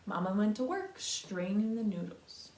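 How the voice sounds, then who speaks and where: A woman reading a children's storybook aloud like a kindergarten teacher, expressive and pausing to talk to young listeners. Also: medium pitch, slight background noise. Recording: roomy echo.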